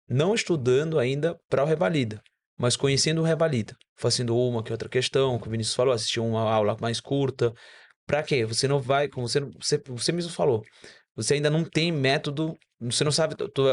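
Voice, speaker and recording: Guy, native spanish speaker, doctor on a podcast, animated and correcting himself as he goes. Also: medium pitch. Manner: animated, explanatory, with self-corrections. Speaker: doctor guest. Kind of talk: casual podcast conversation.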